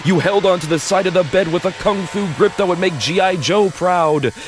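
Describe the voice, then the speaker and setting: A man's voice: high-pitched, normal speed, noisy background. A man narrating a fan audio drama in the second person, casual and wry.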